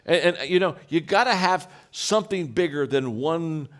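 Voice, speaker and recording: A deep-voiced man gives a motivational talk full of personal stories. His pitch swings widely as he acts out quotes, and he often restarts his sentences. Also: lively intonation, moderate pace. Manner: storytelling with repeated restarts and acted quotes. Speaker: leadership speaker.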